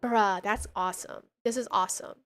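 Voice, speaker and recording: A woman doing live game commentary as a streamer, slangy and animated, reading on-screen text aloud. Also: mid-range voice, fairly quick. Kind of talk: live playthrough commentary with dialogue read aloud.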